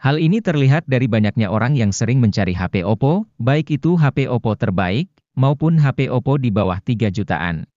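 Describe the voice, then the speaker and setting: Male narrator, mid-pitched and soft-toned, formal explainer read, slightly muffled dry recording. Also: lively intonation, fairly quick, no room sound. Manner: formal, informative. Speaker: narrator of gadget review videos. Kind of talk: scripted narration.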